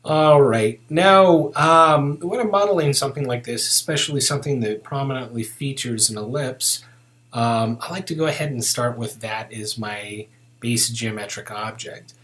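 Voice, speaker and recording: A man giving an unscripted step-by-step software tutorial as an instructor, thinking aloud in a casual, methodical way. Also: mid-range voice.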